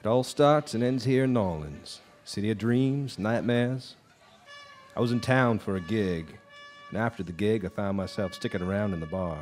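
A man playing a brooding, low-voiced blues musician. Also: a little mellow, faint music behind, lightly acted. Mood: wistful. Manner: world-weary, halting, reluctant storyteller. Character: brooding, haunted male blues musician drinking in a bar. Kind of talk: live radio drama acting. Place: live stage performance of a radio drama.

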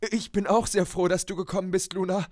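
A man playing a shy, nervous teenage schoolboy in an audio drama, speaking haltingly and earnestly. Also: high voice, little pitch movement, darkish tone, no echo, studio quality, natural voice. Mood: relieved, glad. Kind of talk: amateur audio drama acting.

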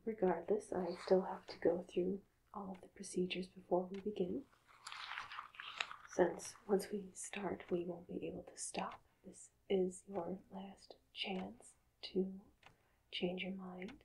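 A woman speaking in a hushed, halting, calm half-whisper, soft-spoken in an ASMR roleplay as a doctor, close to the mic. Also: fairly low pitch, vocal fry, a little hiss or hum, low-quality recording. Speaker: ASMR performer playing a hypnotist doctor.

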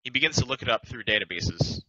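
A young man reading stage directions aloud in a plain, steady way on a headset. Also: mid-range voice, fast talker, dull audio, crowd noise.

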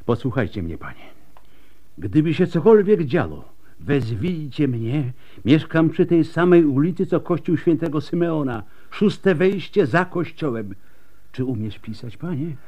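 An actor playing a blunt, world-weary, scarred plague doctor in a radio drama, gruff and emphatic, with bitter resignation. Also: male voice, a little high, big pitch swings, unhurried, dark tone. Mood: gruff, insistent.

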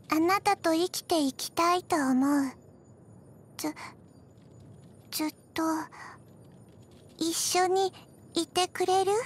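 A woman voicing a small undead girl in a video game, gentle and forgetful, speaking in halting, deadpan, soft phrases. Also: very high-pitched. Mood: quietly tender. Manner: halting, deadpan, soft-spoken with frequent pauses. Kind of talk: scripted video game character voice lines.

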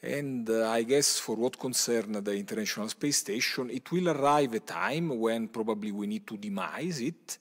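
Low-voiced man answering on a panel. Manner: measured, halting with fillers. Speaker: panelist, space scientist. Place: large lecture hall stage. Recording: long hall reverb.

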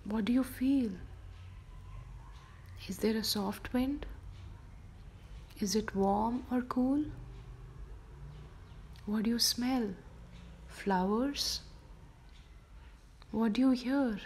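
A woman softly whispering a guided sleep meditation for children, slow and gentle. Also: fairly high pitch, a little hiss or hum. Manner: soft whispered delivery.

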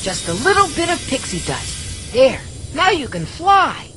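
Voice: high-pitched, wildly swooping pitch, measured pace, music in the background, heavily acted. A performer playing a cocky, boyish flying adventurer in a video game, brash and breezy in manner. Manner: brash, breezy, self-assured.

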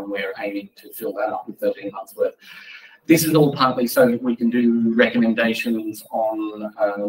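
Man giving a talk, distant mic. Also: fairly low pitch. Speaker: conference speaker. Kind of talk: informal tech meetup talk with audience questions. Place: indoor meeting room, speaking at a lectern. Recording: distant mic in a meeting room.

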